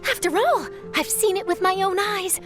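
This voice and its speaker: A keen young female reporter for an anime-style game. The voice is very high and animated, with a confident, theatrical flair. Music plays clearly behind it. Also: moderate pace. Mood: confident, intriguing. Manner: keen, theatrical, self-assured. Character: eager, nosy young female newspaper reporter, confident and perky.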